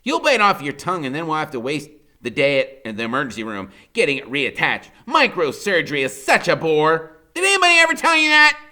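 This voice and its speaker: A man's voice: on the high side, very animated, fairly quick, bright, forceful tone, pitched above the performer's own voice, exaggerated voice. A man voicing a bossy, bookish, theatrical boy genius in a children's novel, exclaiming with pompous impatience. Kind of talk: children's novel character reading.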